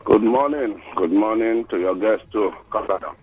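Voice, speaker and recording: A man's voice: fairly low pitch, normal speed, husky, faint music behind. Nigerian man on a tinny phone line. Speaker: caller. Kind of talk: casual phone-in chat.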